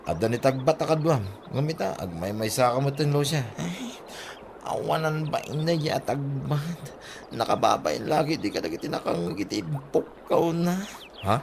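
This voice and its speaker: A man's voice: medium pitch, lively intonation, normal speed. A man with an Ilocano accent acting a teasing, scolding village buddy in a comedy audio drama. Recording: clear background noise, ambience.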